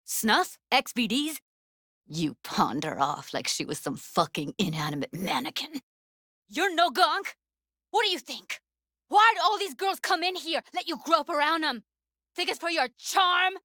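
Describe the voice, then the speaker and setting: A woman's voice: sits high, big pitch swings, measured pace, pushed and bright, no room sound, studio quality, exaggerated voice. A woman voicing a streetwise, guarded young techie in a video game, blunt and slangy, with an American accent. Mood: angry, urgent. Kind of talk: naturalistic video game dialogue.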